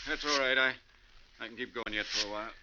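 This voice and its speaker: An actor playing a determined, suspicious bus passenger with urgent, clipped lines in an old-time radio thriller. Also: male voice, medium pitch, fast, bright, forceful tone, highs cut off, a little hiss or hum. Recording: thin old radio recording.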